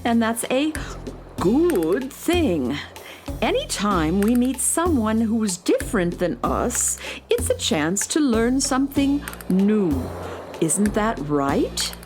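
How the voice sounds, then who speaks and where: A woman playing a kindly, patient schoolteacher who gently instructs children in a space radio drama. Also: on the high side, very animated, slow pace, over music. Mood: kindly, instructive. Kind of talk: children's audio drama acting.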